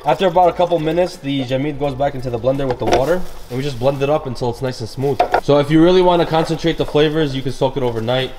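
A man's voice: medium pitch, quick pace, hardly any pauses, background noise. A young man chatting through a cooking session in a big home kitchen, upbeat and gushing about the food. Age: young adult. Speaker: cooking vlogger. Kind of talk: casual cooking commentary.

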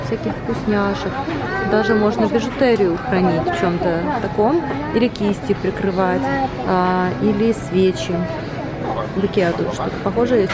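A woman in her thirties chatting casually and playfully for a home vlog, as an affectionate mother. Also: mid-range voice. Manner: playful, giggly, affectionate with children. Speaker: vlogger and mother. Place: shop, then a large living room at home. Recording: noisy camera audio with clicks.

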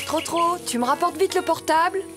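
A woman voicing a gently firm mother donkey in a children's cartoon, giving motherly instructions. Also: very high-pitched, moderate pace. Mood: gently prompting. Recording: music behind the voice.

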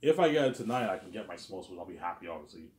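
A man's voice: low-pitched, sing-song, rapid-fire, darkish tone, reverberant. A man in his thirties rambling casually in a monologue to his viewers, repeating himself and stumbling over words. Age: 30s. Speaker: vlogger.

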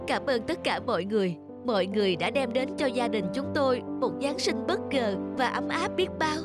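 A woman's voice: fairly high pitch, animated, a little fast, loud music behind the voice, close to an everyday voice. A woman voicing a grateful, tender mother in a cartoon, speaking in a heartfelt way. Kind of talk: cartoon voice acting.

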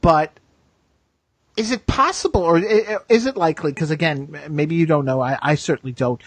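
A man's voice: on the high side, moderate pace, breathy edge, no echo. A man hosting a casual fan discussion, circling around his questions with asides. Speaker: host of a TV fan discussion show. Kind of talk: casual chat.

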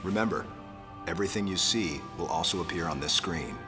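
A deep-voiced American military commander, played by a male actor, stern and measured in a video game briefing. He gives orders in a clipped, formal way. His voice sits in a roomy echo with music behind it. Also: close to an everyday voice. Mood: firm, formal.

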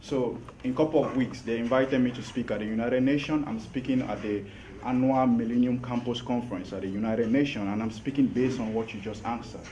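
A man's voice: medium pitch, fairly even intonation, a little fast, hardly any pauses, dark tone, distant mic, lo-fi sound, slight background noise. A man hosting a networking event in a hotel meeting room, speaking assured and direct. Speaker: event host.

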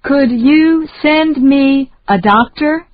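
A woman reading short phrases slowly and deliberately for learners to repeat. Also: sits high, no echo, lo-fi sound. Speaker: English lesson reader.

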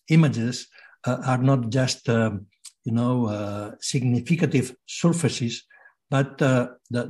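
A man's voice: medium pitch, a little mellow. A man in his sixties with a Catalan accent, giving a hesitant lecture by video call from a home study, with many fillers and restarts. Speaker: guest lecturer. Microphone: laptop webcam on video call.

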